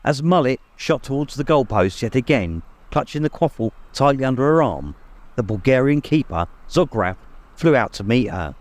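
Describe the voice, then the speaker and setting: A man's voice: mid-range voice, very animated, moderate pace. A man narrating a story in a dramatic, scripted audiobook style.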